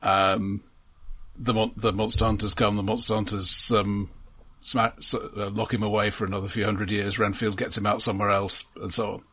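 A man co-hosting a podcast, giving his film opinions in casual chat with a measured, understated manner. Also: fairly low pitch, little pitch movement, bright, forceful tone, breathy edge.

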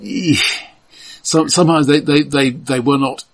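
A man co-hosting a casual film discussion in a measured, analytical way, often halting and repeating his opening words. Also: a little high, slightly rough. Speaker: podcast co-host.

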